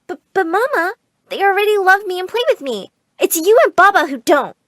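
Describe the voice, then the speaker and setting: Woman acting as aggrieved boy, high-pitched, complaining, whiny, exasperated, swooping intonation. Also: big pitch swings, brisk, a bit bright, no echo, studio quality, exaggerated voice. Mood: pleading. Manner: whining, complaining. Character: protesting, exasperated boys, the aggrieved brothers.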